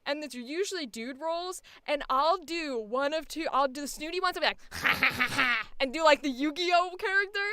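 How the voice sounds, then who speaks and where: Casting: high, bright woman voice actor, animated and profane chat, dry close sound. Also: moderate pace, no room sound. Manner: animated, swears a lot, laughs often. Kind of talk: casual chat.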